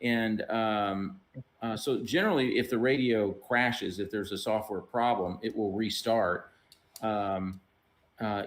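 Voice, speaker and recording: A man in a casual technical discussion, explaining in a measured, hesitant way like an engineer. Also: fairly low pitch. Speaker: radio software engineer.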